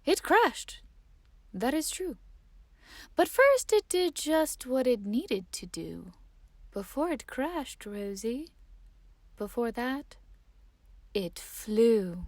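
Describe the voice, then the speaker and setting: A woman's voice: a little high, very animated, slow, long pauses, darkish tone, no room sound, full character voice. A woman voicing a spirited, encouraging elderly great-great-aunt in a children's storybook, speaking emphatically. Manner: emphatic, exclamatory.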